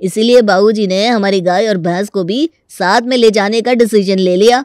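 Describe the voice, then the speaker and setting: A man's voice: high-pitched, steady tone, moderate pace, a little mellow, no echo, natural voice. A crafty young village man played by an actor in a comic cartoon story. He is folksy and mock-polite, yet stubborn and always ready to argue. The sound is dry and close. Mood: folksy, mock-innocent. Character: stubborn, crafty young rural male tenant with cattle. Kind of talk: Hindi comic story dramatization.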